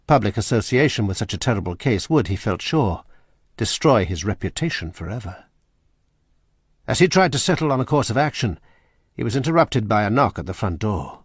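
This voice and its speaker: A man narrating a radio drama in a measured, grave manner. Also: lowish voice, slightly rough, no room sound, clean studio sound. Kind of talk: scripted narration.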